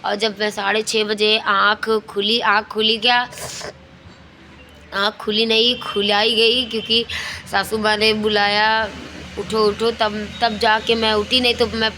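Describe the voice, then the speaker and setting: A woman's voice: mid-range voice, little pitch movement, unhurried, bright, forceful tone. A middle-aged woman from India chatting casually as a lifestyle vlogger, repeating phrases and searching for words as she goes. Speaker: lifestyle vlogger, homemaker and mother. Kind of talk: casual chat about daily routine. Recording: clear background ambient and vehicle noise.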